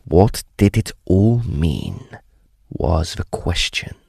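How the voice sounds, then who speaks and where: A man's voice: low-pitched, huge pitch swings, slow, gravelly, darkish tone, breathy edge, no room sound, studio quality, heavily acted. An actor playing a grave, intrepid ghost hunter, narrating his own ghost story in a theatrical, suspenseful way. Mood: bewildered. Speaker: actor narrating an audio drama. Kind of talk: dramatic first-person ghost story narration.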